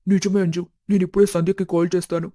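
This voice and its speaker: A man voicing a worried young husband in an animated moral story, polite and serious. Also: sits high, quick pace, no echo. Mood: puzzled, serious.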